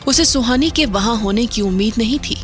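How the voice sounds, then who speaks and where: Woman narrating a horror audio drama. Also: fairly high pitch. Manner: measured, suspense-building storytelling. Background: background music.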